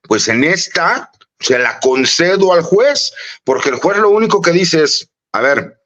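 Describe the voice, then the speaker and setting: A man in his late forties, a lawyer, giving casual analysis in an interview and speaking warmly and familiarly to the host. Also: mid-range voice, muffled recording. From Mexico. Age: late 40s. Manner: warm, conversational, often says "mi querido Manuel". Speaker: lawyer and political analyst guest.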